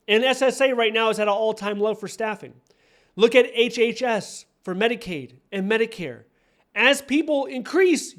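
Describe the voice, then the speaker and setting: An American man in his forties giving opinion commentary, speaking emphatically and repeating key phrases to drive points home. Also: high-pitched. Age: 40s. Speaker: online career coach and commentator. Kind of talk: direct-to-camera opinion commentary.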